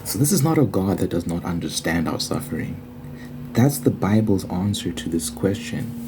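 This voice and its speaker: A man's voice: low-pitched, expressive, moderate pace, background noise. A man giving an informal, earnest Bible study monologue in an ASMR style, repeating phrases for emphasis.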